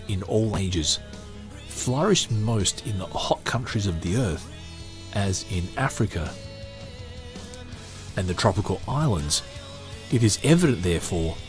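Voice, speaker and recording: Very deep-voiced male podcast host. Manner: hesitant, filler-heavy chat between measured reading. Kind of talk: reading a book aloud with commentary. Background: faint guitar music.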